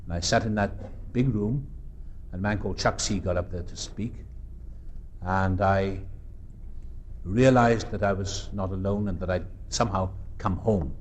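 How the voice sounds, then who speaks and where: A Welsh man in his fifties gives an earnest, reflective recovery talk at a meeting, with pauses and restarts. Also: low voice, slight background noise. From South Wales. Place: meeting room.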